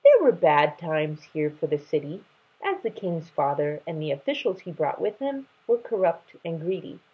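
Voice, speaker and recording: A woman voicing a kindly, motherly lion who explains and gently scolds, in a children's storybook read-aloud. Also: deep voice, big pitch swings, moderate pace, slightly rough, muffled recording, full character voice. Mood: concerned.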